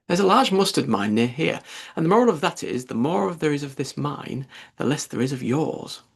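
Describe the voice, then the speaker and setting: A man's voice: fairly high pitch, lively intonation, fairly quick, gravelly, breathy edge, heavily acted. A man voicing a fussy, agreeable Duchess in a storybook, wordy and pleased with her own morals. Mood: pleased, moralizing.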